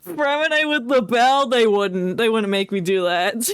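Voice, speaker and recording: A woman's voice: on the high side, steady tone, a little slow, clean tone, dry recording. A woman streamer giving casual, playful, joking commentary on a game she plays with a friend.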